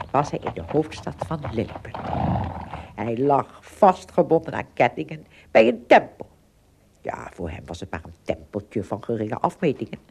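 A woman narrating a scripted children's story expressively, with dramatic pauses and little asides. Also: very deep voice, creaky, a little mellow, breathy edge.

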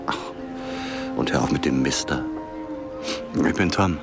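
An actor playing a protective American man who questions gently and informally in a radio drama. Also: rumbling low, unhurried, creaky, music in the background, full character voice. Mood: teasing. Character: protective, probing American man named Tom.

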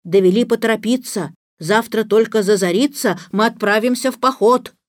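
A small enchanted storybook horse, voiced by a woman, loyal, wise and gently chiding. It counsels tenderly in rhyme, with a high voice and a close, dry sound. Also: moderate pace, no room sound, natural voice. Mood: chiding, reassuring. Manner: tender, coaxing, advising. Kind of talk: dramatized verse fairy-tale reading.

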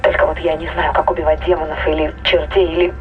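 An actress playing an alarmed but dutiful spaceship crew member in an audio drama, speaking gravely and emphatically through a radio filter. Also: female voice, sits low, level tone, quick pace, phone-quality sound, faint music behind. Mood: grave, uneasy. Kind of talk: dramatic audio play acting.

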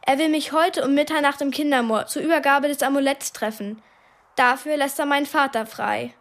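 Earnest, high-voiced young girl. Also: fairly even intonation, a little fast. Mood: anxious, earnest. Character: earnest, secretive twelve-year-old girl. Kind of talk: radio play acting.

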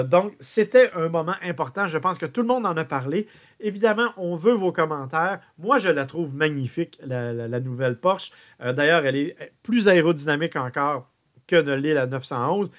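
A man hosting a car radio show, talking casually and often pausing on "euh" and repeated words. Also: a little high. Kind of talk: car review radio talk.

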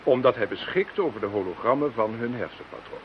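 An actor playing a smug, condescending scientific expert in a radio play, speaking formally and pompously. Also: male voice, mid-range voice, expressive, a little fast, natural voice. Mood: smug, fascinated. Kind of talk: Dutch radio play acting. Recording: dull, hissy radio play recording.

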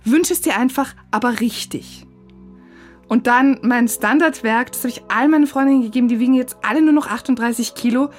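A woman's voice: on the high side, fairly quick. A middle-aged woman telling a first-person story as narrator of a radio feature. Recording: music under the voice. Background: music.

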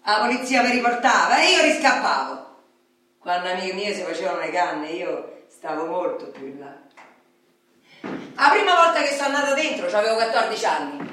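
In a very reverberant room, well away from the microphone, a candid, wounded Roman street woman tells her life as radio theatre. Her voice is fairly high, and her talk is colloquial and rambling, the confiding speech of a former convict and addict. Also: fairly bright tone, a little hiss or hum. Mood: matter-of-fact, weary. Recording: very echoey room, distant microphone.

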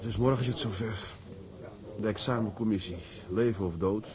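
Low-voiced anxious young sailor played by a man. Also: fairly even intonation, normal speed, crowd noise, close to an everyday voice. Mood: worried. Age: young adult. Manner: hesitant, stammering repetitions. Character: anxious young sailor studying for officer's exam. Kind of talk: Dutch radio drama acting.

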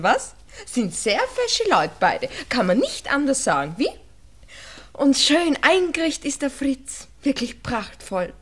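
An actress playing a worldly-wise, bossy young Viennese shop girl in a radio drama, chatty and teasing. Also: high voice. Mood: cheerful, teasing. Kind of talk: 1960s German radio drama acting.

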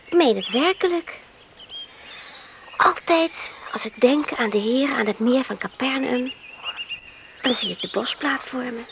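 An actress playing a dreamy, devout young island woman in a radio play, wistful and often hushed. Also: sits high, a little slow, gravelly, pauses often, background noise. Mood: dreamy, reverent. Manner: hushed, wistful, often dropping to near whisper. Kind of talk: Dutch radio play acting.

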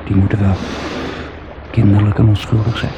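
A man's voice: on the low side, little pitch movement, normal speed, close to an everyday voice. A man playing a brooding, wry husband who talks to himself in a radio drama monologue. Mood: wry self-reassurance. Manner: musing, wry, talking to himself. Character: brooding, self-soothing husband swimming out to sea. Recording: dull noisy old radio recording, water sounds.

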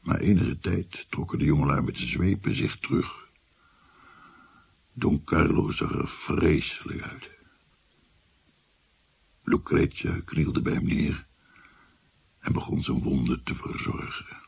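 Old grandfather, deep gravelly voice, very husky, haunted storyteller, dramatic and halting radio drama. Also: darkish tone, heavily acted. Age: older. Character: shaken old grandfather recounting a haunting memory.

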